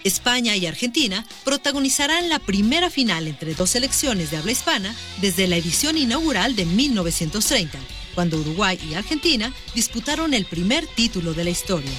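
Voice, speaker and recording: A woman reads a scripted news segment in a polished, professional voice. Her intonation rises and falls expressively, and a clear music bed plays behind her. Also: medium pitch, brisk. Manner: polished, even delivery.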